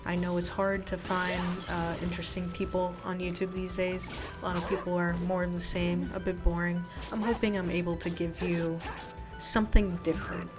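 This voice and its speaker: A woman's voice: on the low side, flat delivery, normal speed. A woman hosting her own channel, talking casually and sincerely to her viewers in a personal way. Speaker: video creator and channel host. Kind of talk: casual, earnest talk to viewers over gameplay. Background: music.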